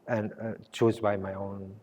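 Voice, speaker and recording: A man who grew up in Spain, speaking English as a second language, talks about his art in a halting way, often restarting and searching for words. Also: fairly low pitch, flat delivery, darkish tone, in a large hall. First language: Spanish. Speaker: artist. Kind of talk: gallery conversation.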